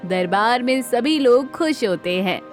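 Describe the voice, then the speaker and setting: A woman's voice: a little high, animated, unhurried, soft background music. A woman narrating a scripted children's moral story as the storyteller.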